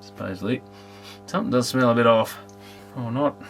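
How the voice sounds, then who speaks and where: A man's voice: medium pitch, normal speed, fairly bright tone, faint music behind. A man thinking aloud in a casual, unscripted workbench commentary, muttering tentatively as he works. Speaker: amateur electronics repairer presenting. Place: repair workbench indoors.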